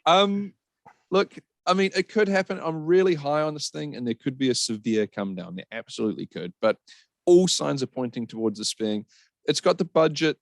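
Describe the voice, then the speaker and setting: A man talks casually and with emphasis as a podcast panellist, swearing freely. His pitch is average for a man. The recording is dry and free of room sound. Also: no room sound. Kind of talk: casual opinionated chat.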